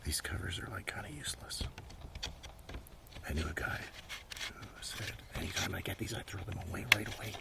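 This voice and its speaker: A man speaking in a soft, casual ASMR ramble that often slips toward a whisper, with unhurried murmured asides. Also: low voice, vocal fry. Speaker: host of a comics collection ASMR video. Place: outdoors. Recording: noisy outdoor recording with breeze. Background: breeze, page flipping, ambient noise.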